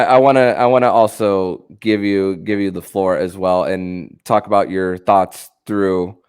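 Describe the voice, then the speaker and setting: A man hosting a sports podcast, speaking in a halting way with repeated and restarted phrases. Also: deep voice, darkish tone, smooth. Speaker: podcast host.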